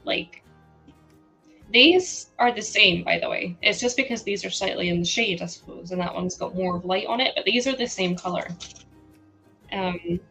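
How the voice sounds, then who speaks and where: A woman's voice: on the low side, normal speed, vocal fry, pushed and bright, soft background music. A Scottish woman from Scotland chatting casually on a live stream, giggling often as she answers her chat. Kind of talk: casual live-stream chat while sorting building parts.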